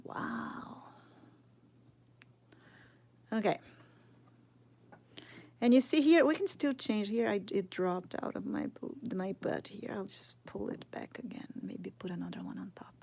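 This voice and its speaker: Brazilian woman doing a live sculpting class, slow easygoing talk, trails off into murmurs. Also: medium pitch, steady tone, gravelly, a little mellow, in a whisper. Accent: Brazilian Portuguese. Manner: slow, thinking aloud, drops to murmurs while working. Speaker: sculpting teacher streaming live. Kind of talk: casual live tutorial, answering chat.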